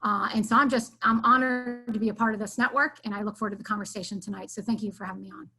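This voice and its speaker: A woman's voice: mid-range voice, fairly even intonation, fast talker, bright, forceful tone, rough recording. Woman coach, heartfelt and reflective, filler-heavy delivery, video call sound. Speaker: softball coach. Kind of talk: panel remarks over video call.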